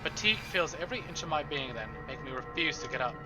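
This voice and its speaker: A fairly high-pitched man reads a visual novel aloud for a stream. His narration is theatrical and expressive, with clear game music playing behind him. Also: fast. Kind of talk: dramatic first-person story narration with asides.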